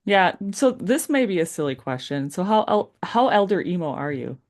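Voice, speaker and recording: A man's voice: high voice, big pitch swings, moderate pace. Middle-aged man with dry teasing asides. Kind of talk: casual chat.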